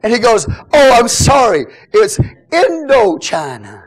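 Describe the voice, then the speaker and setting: A middle-aged man preaching a sermon as pastor, telling jokes and swinging from quiet asides to loud exhortation. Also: very high-pitched, huge pitch swings, slow.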